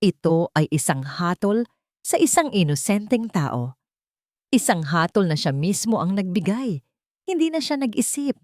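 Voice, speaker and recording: A woman narrating a scripted dramatic story in a suspenseful way, pausing between short phrases. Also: medium pitch, sing-song, darkish tone, dry recording, clean studio sound.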